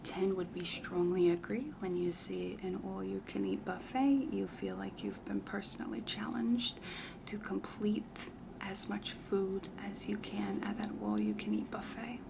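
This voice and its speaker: A woman playing a self-assured, chatty matchmaker in a comedy ASMR roleplay, whispering softly in a deliberate, confiding, matter-of-fact way. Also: medium pitch, noisy background. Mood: patient, businesslike. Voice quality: breathy whisper with heavy creak. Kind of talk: soft-spoken whispered ASMR roleplay questionnaire.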